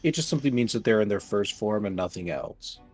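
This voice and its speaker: A man's voice: lowish voice, expressive, a little fast, a little mellow, faint music behind. A man running a tabletop game, talking things over casually with his players in an easygoing, reassuring way. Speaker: game master.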